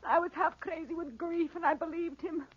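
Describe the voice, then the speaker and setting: A woman's voice: very high-pitched, steady tone, moderate pace. A woman playing a distraught village widow, pleading urgently and melodramatically in a 1940s radio drama. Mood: anguished. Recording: muffled 1940s radio recording.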